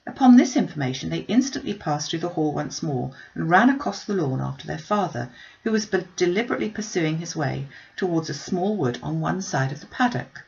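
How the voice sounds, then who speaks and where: A woman's voice: low voice, expressive, brisk, creaky, darkish tone. A woman narrating an audiobook, reading a classic novel aloud. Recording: slightly dull, muffled recording.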